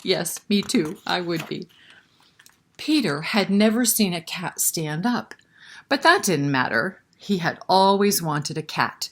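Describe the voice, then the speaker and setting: A woman reads a picture book aloud as a story-time host. Her voice sits a little low but swings widely in pitch, and she takes her time. She breaks off to chat and put questions to her young listeners. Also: takes it slowly. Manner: expressive, chatty, prompting listeners with questions. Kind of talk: picture book read-aloud with asides to children.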